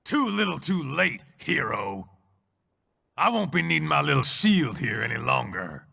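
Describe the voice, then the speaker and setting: An actor playing a smug, ruthless mercenary warmonger in a video game, with a gravelly, mocking, theatrically menacing delivery. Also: male voice, a little high, sing-song, measured pace, in a large hall, full character voice. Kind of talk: dramatic video game cutscene acting.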